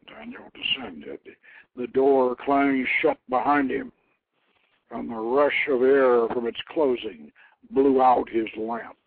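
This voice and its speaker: A man narrates a tale with solemn, theatrical weight, his mid-pitched voice shifting between hushed near-whispers and swelling emphasis. The sound is narrow and phone-like, as on low-bandwidth internet radio, and quite dry. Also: no echo. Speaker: host reading his own novelette. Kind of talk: dramatic narrated storytelling, reading aloud.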